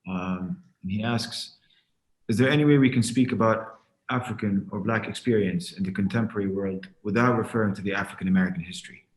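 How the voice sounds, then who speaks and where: A man with a fairly low voice moderates a panel's question session. His audio is noticeably echoey, like a live-streamed call. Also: brisk.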